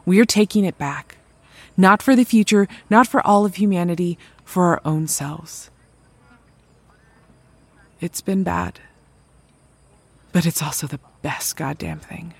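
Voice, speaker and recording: A low-voiced, husky woman in an intimate audio drama. She is a weary, hopeful homesteader who talks earnestly and takes her time. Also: measured pace, long pauses, heavily acted. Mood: defiant, earnest. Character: weary, hopeful woman back home in rough country. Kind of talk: intimate audio fiction acting.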